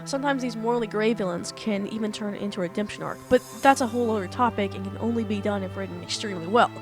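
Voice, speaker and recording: A woman's voice: mid-range voice, fast, music in the background. A young woman, an author, explaining storytelling step by step in a casual, earnest way, her voice slightly off after being ill. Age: young adult. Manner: earnest, talks through points step by step. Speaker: author and writing teacher.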